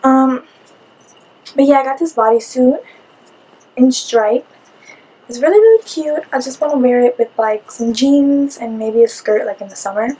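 Young woman, raspy from a cold. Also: high-pitched, expressive, moderate pace, pauses often, dark tone, slight background noise, poor audio. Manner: chatty, rambling, with many "like" fillers. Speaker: beauty vlogger. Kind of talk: casual haul video chat. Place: small room in front of a curtain.

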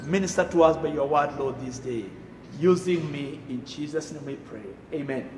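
A middle-aged man with a Kenyan accent preaches in a church sanctuary, pushing up loud on the key phrases. His voice sits high for a man and is lively. A long, roomy reverb hangs behind every word. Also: normal speed, a little hiss or hum. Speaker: pastor. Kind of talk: sermon. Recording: echoey room reverb.

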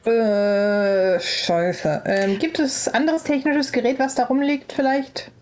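A woman's voice: on the high side, fairly even intonation, takes it slowly, darkish tone, a little hiss or hum. A woman chatting casually as a player in a tabletop role-playing game, saying what her character tries.

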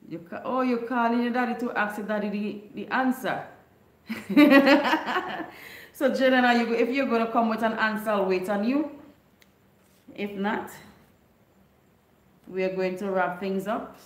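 Grenadian lady chatting on her livestream from home, desk mic, kinda echoey room. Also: medium pitch. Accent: Grenadian Creole English. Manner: chatty, retelling a folk story, reading viewer comments. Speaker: livestream host. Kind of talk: casual live chat with viewers. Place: home living room with neon lights. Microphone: desk microphone on stand.

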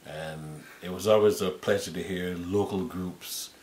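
A man's voice: steady tone, unhurried, darkish tone. A man, conducting a casual, conversational interview. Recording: roomy sound with faint rumble.

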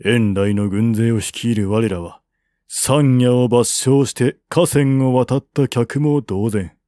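A man reading the part of a cautious army general who gives his lord grave, formal, deliberate counsel in an audiobook. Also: medium pitch, warm tone, no room sound, studio quality, close to an everyday voice.

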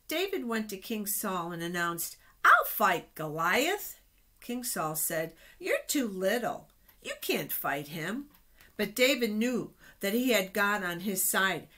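A middle-aged woman reading Bible stories aloud to children in an expressive, explanatory way. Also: medium pitch. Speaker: children's storytime reader. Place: small office, indoors. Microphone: webcam at desk distance.

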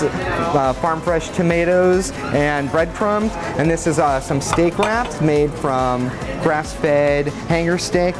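Male restaurant presenter, slightly higher voice, friendly, music bed. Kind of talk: describing dishes.

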